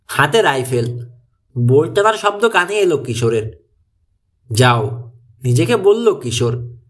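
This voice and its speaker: A man with a fairly high voice narrates an audiobook thriller. His delivery is highly animated and suspenseful, with pitch that moves around a lot as the scripted story unfolds.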